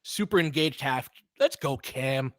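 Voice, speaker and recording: A man's voice: medium pitch, animated, a little slow, a bit bright, no room sound, clean studio sound. A man hosting live basketball play-by-play in short, clipped phrases, openly cheering for his team. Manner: clipped, fragmentary play calls. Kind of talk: live fan-side play-by-play commentary.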